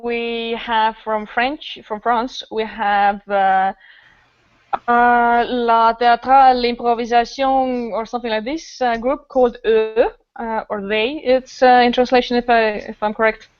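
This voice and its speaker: Woman, higher-pitched voice, enthusiastic rambling storytelling, casual podcast chat, dull audio. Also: steady tone, a little slow, smooth, dry recording. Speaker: improv festival organizer, podcast guest.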